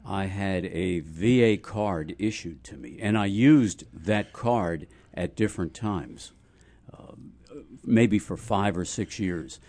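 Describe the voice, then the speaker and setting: An older man, sixties or beyond, speaks in a fairly low voice. He is deliberate and plain-spoken, chatting as a radio panelist. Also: darkish tone. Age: 60s or older. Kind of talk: casual radio discussion.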